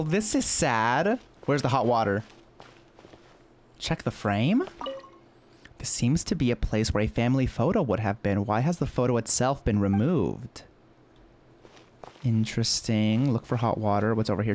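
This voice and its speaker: A man's voice: mid-range voice, animated, moderate pace, a little mellow. A young man casually commenting while playing a story game, reading prompts aloud with wry asides. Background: game music.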